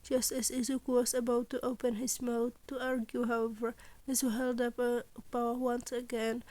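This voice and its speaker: High-voiced woman narrating a story. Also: flat delivery, moderate pace, darkish tone. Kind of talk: expressive scripted fan fiction narration.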